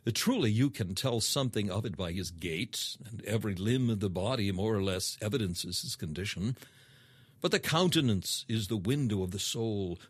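A man reading a scripted sermon as audiobook narration in a formal, measured, emphatic manner. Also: mid-range voice, slightly rough, dry recording. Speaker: sermon reader.

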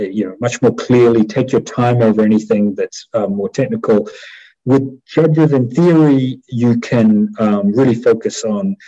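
A middle-aged man with a New Zealand accent, explaining as an interview guest in a measured, hesitant way over a headset on a video call. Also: fairly low pitch, mellow. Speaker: interview guest, war crimes prosecutor. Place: small indoor room at home. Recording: dull video-call audio.

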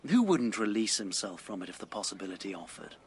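An actor playing a proud, melancholy, impoverished Victorian writer in a radio drama, with an earnest, literary English delivery. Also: male voice, on the low side, big pitch swings, fast, slight background noise. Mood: wistful, brooding. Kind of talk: BBC radio drama acting.

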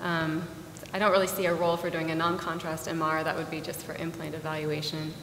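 A woman's voice: low-pitched, monotone, a little fast, a bit bright, slight background noise. A woman in her thirties, a radiologist on a conference panel, explaining carefully with frequent ums. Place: large conference ballroom. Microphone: table microphone. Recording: echoey hall with long reverb.

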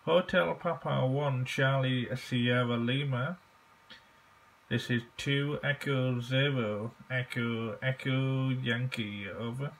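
An Englishman making an amateur radio contact, speaking haltingly and deliberately and repeating key phrases. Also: mid-range voice, fairly even intonation, fairly bright tone, a little hiss or hum, low-quality recording. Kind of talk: ham radio contact, phonetic spelling.

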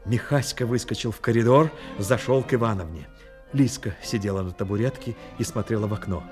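A man's voice: on the low side, a little fast. A man reading scripted narration as the storyteller of a radio drama. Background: music.

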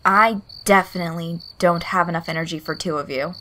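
A woman voicing a gruff, foul-mouthed boy with a flat, bored delivery in a story reading. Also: on the low side, brisk, a little hiss or hum. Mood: flat, tired. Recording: soft music behind the voice.

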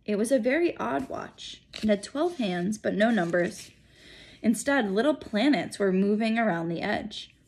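Woman in her thirties, expressive storybook narration, mid-range voice, children's read-aloud, home recording. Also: mid-range voice, a little slow, husky, poor audio. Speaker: school principal reading aloud. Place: small home room, indoors. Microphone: phone or tablet at arm's length.

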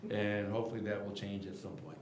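A middle-aged man, a basketball head coach, answering reporters' questions at a post-game press conference podium with a table mic, candid and animated. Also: fairly low pitch, fast, reverberant, background noise. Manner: candid, animated, gestures while explaining. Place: press room at team podium. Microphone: table mic in front of him.

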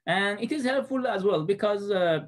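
A man's voice: sits high, animated, moderate pace. A man in his thirties with a Persian accent, giving a recorded class presentation from a small room into a webcam, with many fillers and restarts. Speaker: student presenter.

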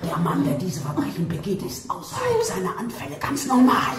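An actress playing a sinister, domineering, scornful nurse in a radio drama, with an ominous, commanding delivery. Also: female voice, sits high, huge pitch swings, a little fast, over music, heavily acted. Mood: agitated, menacing. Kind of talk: German radio drama acting.

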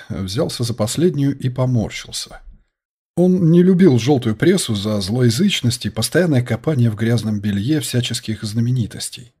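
A man's voice: mid-range voice, warm tone, breathy edge. A man reading a detective novel aloud as scripted audiobook narration.

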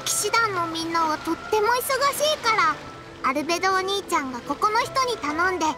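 A woman's voice: extremely high pitch, lively intonation, fairly quick, loud music behind the voice. A woman voicing a small, innocent little girl in an anime game, bubbly and naive, with a sweet, childish voice. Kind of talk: Japanese anime game voice acting.

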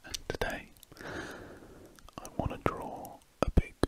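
A British man speaks entirely in a breathy, unvoiced whisper. He rambles slowly and hesitantly in ASMR style, letting his thoughts trail off in short phrases. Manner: slow, hesitant, trailing musings. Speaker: host of a drawing ASMR channel. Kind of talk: ASMR, unscripted rambling while drawing. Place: indoors at a desk.

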